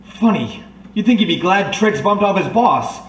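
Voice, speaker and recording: Male actor as inquisitive detective's helper, high voice, eager, roomy distant sound with clear noise. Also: moderate pace. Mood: curious. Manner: questioning, excitable. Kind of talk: audio drama acting in a detective serial. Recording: echoey, distant, noisy recording.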